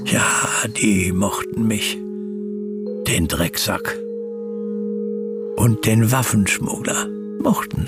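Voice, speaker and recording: An actor playing a boastful self-made socialist schemer in a radio play, speaking grandly and savouring his words. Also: on the low side. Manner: grandiose, slow, savouring delivery. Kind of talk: German radio play monologue. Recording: radio drama voice over loud music.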